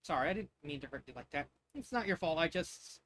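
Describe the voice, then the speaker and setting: A man's voice: a little high, expressive, brisk, a bit bright, slight background noise. A man voicing a brash but sincere young girl, the casual best friend in a fan fiction storybook. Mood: sincere, consoling.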